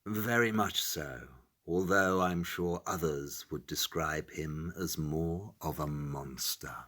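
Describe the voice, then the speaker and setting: A man's voice: low-pitched, slow pace, a bit bright, heavily acted. An actor playing a brilliant, self-assured detective in an audio drama, precise and dryly condescending as he lays out his deductions. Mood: assured, cryptic. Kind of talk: audio drama acting, expository dialogue.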